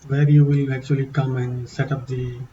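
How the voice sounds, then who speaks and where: A man with an accent walking through a software demo in a halting, casual way, with many fillers and restarts. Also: mid-range voice, flat delivery, dull audio, slight background noise. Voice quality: non-native English accent. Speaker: tutorial presenter. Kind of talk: casual screen-share walkthrough.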